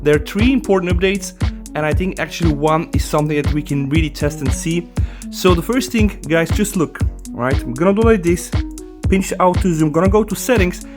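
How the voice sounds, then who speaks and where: Quick, chatty male tech host with a Bulgarian accent, voice a bit high, faint music under him. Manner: chatty, keeps saying "guys" and "right?"; talks fast. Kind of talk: casual tech review.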